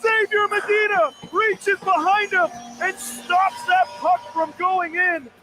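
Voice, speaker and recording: A man giving excited live hockey play-by-play commentary. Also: very high-pitched, slow pace. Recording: clear background arena noise.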